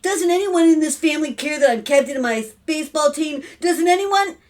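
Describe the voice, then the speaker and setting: A woman's voice: high voice, expressive, fairly quick, pitched above the performer's own voice. A woman voicing a boastful, indignant sporty boy in a storybook, with a brash and insistent manner.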